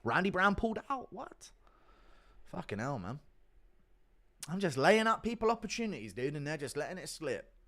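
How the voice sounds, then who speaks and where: A man's voice: a little high, sing-song, normal speed, long pauses, a bit bright. Blunt, profane male streamer. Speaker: livestream host. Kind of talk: casual livestream chat reading donations.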